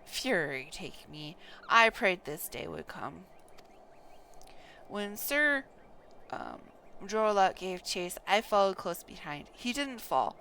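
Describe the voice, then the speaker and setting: Woman acting anguished knight, guilty confession, solemn, earnest, unhurried, swinging pitch, fantasy game reading. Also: sing-song, creaky, pushed and bright, slight background noise, exaggerated voice. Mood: anguished, grave. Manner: earnest, solemn, halting over names.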